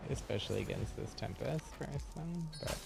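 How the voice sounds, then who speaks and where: A man's voice: mid-range voice, lively intonation, normal speed, creaky. A man casually commentating on his gameplay as a streamer, with playful mock exasperation. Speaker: game streamer. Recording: loud game music behind voice. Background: loud game music.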